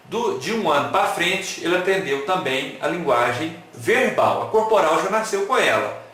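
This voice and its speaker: A man's voice: fairly high pitch, normal speed. Guy lecturing, joking around, asks the crowd stuff, lots of echo. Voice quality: animated, playful, asks the audience questions. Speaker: lecturer at an online talk. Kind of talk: lively lecture with jokes and rhetorical questions. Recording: very echoey room.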